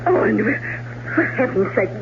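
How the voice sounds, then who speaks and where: A woman's voice: fairly high pitch, sing-song, moderate pace. An actress in a 1970s radio drama playing a wealthy, flirtatious married woman with a coy, theatrical delivery. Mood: startled, nervous. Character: wealthy married woman, tipsy flirt coming home late. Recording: muffled, noisy old radio broadcast recording.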